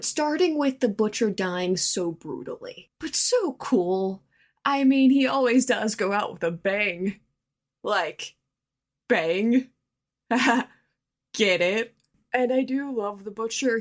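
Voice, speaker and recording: A woman's voice: mid-range voice, slow pace. A woman recording a casual listener message, giving fan commentary in short, punchy phrases. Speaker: listener sending in a voice submission.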